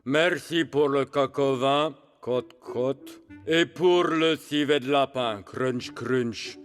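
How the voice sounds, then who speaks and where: A man's voice: mid-range voice, slow pace, smooth, long reverb tail, faint music behind, lightly acted. A man voicing a sullen, menacing evil sorcerer in a cartoon, with a dry, theatrical manner. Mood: grudging, dry. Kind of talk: French cartoon dub acting.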